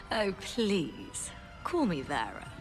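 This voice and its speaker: A posh sly exec for a game cutscene, very swoopy mid-pitched voice, wry and gracious, music under. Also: female voice, big pitch swings, extremely slow. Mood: conspiratorial. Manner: genteel, urbane, wry. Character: refined, wealthy, self-assured businesswoman, slyly gracious. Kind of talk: video game cinematic voice acting.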